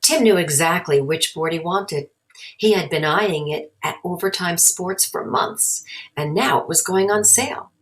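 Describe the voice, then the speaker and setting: Low-pitched woman reading a children's story. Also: a little slow. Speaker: storybook narrator. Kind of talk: read-aloud children's story narration.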